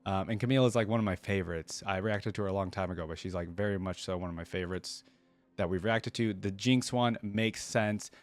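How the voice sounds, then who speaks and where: A man's voice: deep voice, fairly quick. A man giving casual reaction commentary as a video host, chatty and rambling, often tagging questions onto his remarks.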